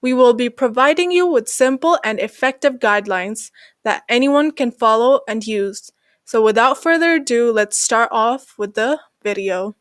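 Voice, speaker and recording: A woman narrating an English lesson as the channel host, slowing down to read example sentences. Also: a little high, dry recording, studio quality. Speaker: English teacher.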